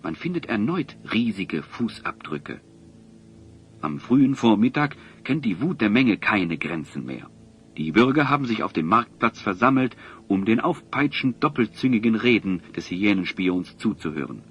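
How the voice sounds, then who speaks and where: A man's voice: on the low side, fairly bright tone. A man narrating a fantasy audio drama as a solemn storyteller, with dramatic, literary delivery. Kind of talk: scripted narration. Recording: dull, faintly noisy audio drama recording.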